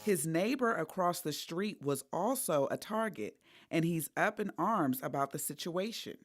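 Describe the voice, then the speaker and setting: A woman's voice: on the low side, animated, measured pace, no room sound, studio quality. Woman reporting local TV news. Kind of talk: broadcast news reporting, scripted narration.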